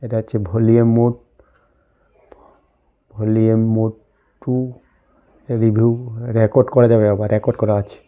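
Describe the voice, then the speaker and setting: A man's voice: fairly low pitch, level tone, measured pace, pauses often, lo-fi sound. A man giving a casual, plainly explained product unboxing commentary, going item by item. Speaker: host of an unboxing video channel.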